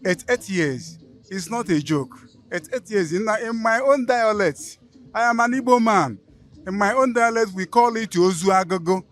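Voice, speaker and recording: Man, Nigerian English accent, solemn, measured, high-pitched. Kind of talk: reflective speech.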